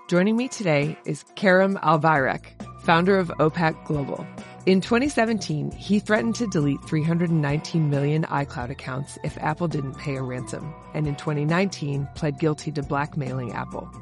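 A woman hosting a podcast, reading a scripted guest introduction and asking clear, measured questions. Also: sits low, faint music behind. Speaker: podcast host.

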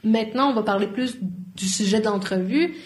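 Measured woman interviewer. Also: mid-range voice. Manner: measured, clear questions. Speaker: interviewer, host. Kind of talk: interview hosting. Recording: roomy echo.

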